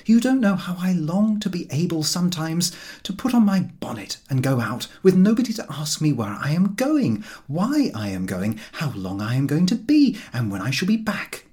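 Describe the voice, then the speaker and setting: A man voicing a frank, brisk Edwardian wife, fond yet weary of her husband, in audiobook dialogue. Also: on the high side, very animated, a little mellow, slightly rough, pitched above the performer's own voice. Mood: longing, exasperated.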